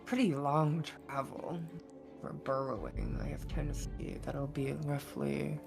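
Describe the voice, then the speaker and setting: A woman's voice: deep voice, measured pace, creaky, over music. A woman playing a tabletop roleplaying game, thinking aloud in casual chat.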